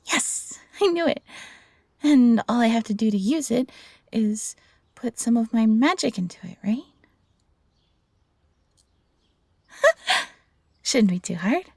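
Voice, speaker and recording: Woman playing a soft husky fox-hybrid servant gal, earnest n hesitant, bit creaky, audio roleplay, really dry close sound. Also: on the high side, lively intonation, measured pace, long pauses, no room sound, exaggerated voice. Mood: curious, eager. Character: gentle, eager fox-hybrid former servant woman learning magic. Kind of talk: intimate roleplay audio drama.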